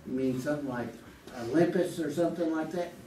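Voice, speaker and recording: A man's voice: fairly high pitch, brisk, mellow, lightly acted. A man playing a smug, wisecracking husband who is a contestant in a comic radio game show on stage. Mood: musing. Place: live stage performance in a small theater. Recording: echoey, distant, noisy theater recording.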